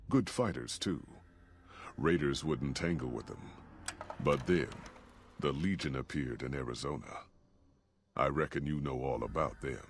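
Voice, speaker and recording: An older man with an American Western drawl, acting a gruff, plainspoken old caravan leader in a video game. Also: extremely low pitch. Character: gruff folksy old caravan leader, weathered male storyteller. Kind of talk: video game character dialogue and narration.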